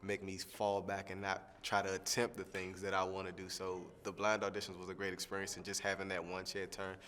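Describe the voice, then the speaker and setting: A young man, a singing contestant, answering interview questions in a relaxed, rambling way with lots of fillers. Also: deep voice, brisk, long reverb tail, slight background noise. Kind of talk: press junket soundbite interview.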